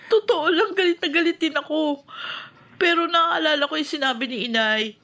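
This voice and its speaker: An actress playing an earnest, level-headed young daughter and elder sister in a Filipino radio drama. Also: female voice, high-pitched, moderate pace, bright, forceful tone. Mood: pleading, composed. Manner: earnest, emotive.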